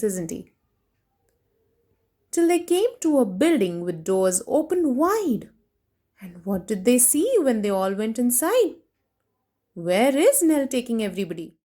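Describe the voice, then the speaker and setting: A woman's voice: fairly high pitch, measured pace, long pauses. A woman reading a children's storybook aloud expressively, breaking off to put questions to young listeners. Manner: animated, addresses children directly with questions.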